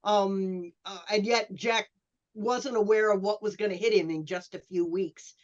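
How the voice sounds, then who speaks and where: A woman speaking as a panelist, giving detailed historical background in an unscripted, halting, scholarly way over a dull online call. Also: medium pitch, steady tone.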